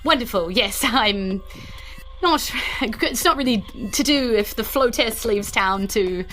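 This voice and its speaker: A woman's voice: on the high side, lively intonation, takes it slowly, fairly bright tone, a little hiss or hum. A woman playing an anxious, hesitant town official and worried mother in a tabletop fantasy game, often repeating her words.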